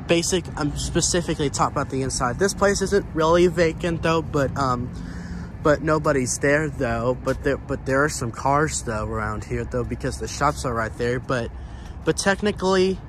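An American man giving a casual walking tour as a vlogger, rambling and repeating himself as he goes. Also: fairly high pitch. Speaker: vlogger touring abandoned buildings. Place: outdoors, walking around a building and parking lot. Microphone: handheld camera or phone. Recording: outdoor handheld recording with clear ambient noise.

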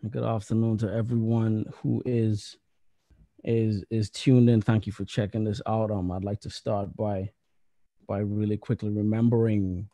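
Mellow, dry-sounding man from Trinidad. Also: fairly low pitch, little pitch movement, normal speed, no room sound. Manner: earnest, fillers and repeated words. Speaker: musician and associate professor. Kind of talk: panel talk. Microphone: earphones on a video call.

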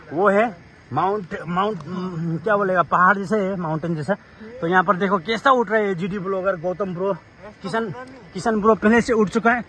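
A man's voice: sits high, sharp tone, low-quality recording. A young man in his twenties chatting casually into his phone camera, narrating as he climbs a rocky hilltop outdoors. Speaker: vlogger.

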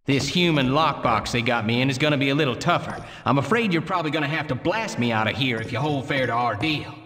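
An actor playing an educated, theatrical gentleman outlaw in a western game, speaking in florid, wordy phrases. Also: medium pitch, fast talker, long reverb tail, slight background noise, close to an everyday voice. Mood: coaxing. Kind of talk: video game western acting.

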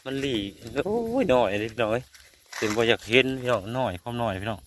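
A man's voice: medium pitch, steady tone, fast, a bit bright. A man with an Isan accent chatting casually and folksily to his viewers as he vlogs outdoors at night. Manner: folksy, repeatedly addresses viewers. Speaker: vlogger hunting frogs at night. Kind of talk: casual vlog chat to viewers. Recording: outdoor recording with faint insect noise.

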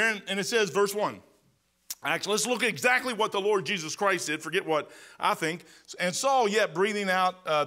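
Middle-aged male pastor, high bright voice, emphatic and conversational, church sanctuary. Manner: emphatic, conversational preaching with repetitions and restarts. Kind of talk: sermon. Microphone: pulpit microphone, far camera.

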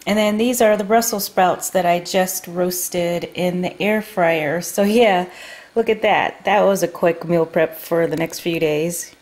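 A woman vlogger casually talking through her grocery haul at home, unhurried with frequent ums. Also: mid-range voice. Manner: chatty, unhurried, many ums. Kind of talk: casual food haul narration. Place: home kitchen table.

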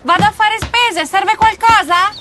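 A woman playing a bossy, sharp-tongued teenage schoolgirl in an anime dub, assertive and scolding. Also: very high voice, measured pace, heavily acted. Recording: music behind the voice.

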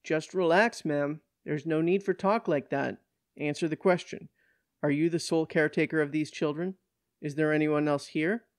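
Audiobook role: patronizing old-school cop, done by the narrator, firm and condescending, with gravelly fry. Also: medium pitch, fairly even intonation, moderate pace, no echo, studio quality, close to an everyday voice. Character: stern, patronizing old-fashioned male police officer.

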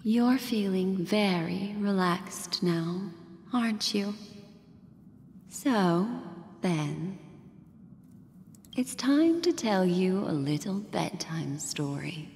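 A hypnotic fortune-teller. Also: female voice, medium pitch, darkish tone, slight background noise. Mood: soothing, enigmatic. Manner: slow, hypnotic storytelling. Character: mysterious woman fortune-teller, soft and knowing. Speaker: game character narrating a recap. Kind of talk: video game cutscene voice acting. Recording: game audio with long reverb and music.

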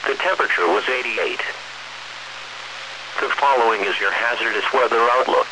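An automated American male voice reading a scripted weather bulletin in a measured, impersonal, synthesized way. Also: medium pitch. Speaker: weather radio announcer. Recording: noisy weather radio broadcast.

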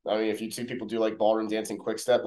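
A man's voice: on the low side, little pitch movement, rapid-fire, mellow. A young man co-hosting a reality TV review show from home on a video call, rambling with many fillers. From Middle East. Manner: rambling, lots of "like, you know" fillers. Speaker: co-host of a reality TV review podcast. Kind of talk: casual chat. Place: home room.

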